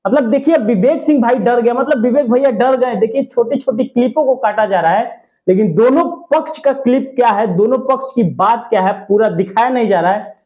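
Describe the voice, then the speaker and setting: Guy w really high voice doing emphatic opinionated youtube commentary, repeats himself, dull lo-fi sound. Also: moderate pace, a little mellow. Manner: emphatic, repetitive, partisan. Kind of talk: opinionated gossip commentary addressed to viewers. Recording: dull lo-fi audio.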